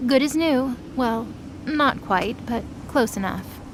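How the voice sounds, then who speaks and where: A woman's voice: high voice, takes it slowly, noisy background. A woman giving dramatic scripted narration as the narrator of an audio drama.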